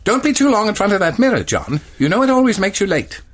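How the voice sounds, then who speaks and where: A man puts on a bossy storybook wife, brisk and practical. The voice is lifted in pitch, husky and very animated. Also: heavily acted. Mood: nagging.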